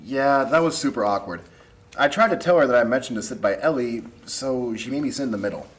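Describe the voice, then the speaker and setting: A man's voice: mid-range voice, lively intonation, brisk, natural voice. A young man acting a laid-back, wry male college student in a table read, with casual, slangy delivery. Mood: defensive. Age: young adult. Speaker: actor at a script table read. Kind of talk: casual dialogue read aloud from a script. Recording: noisy room recording.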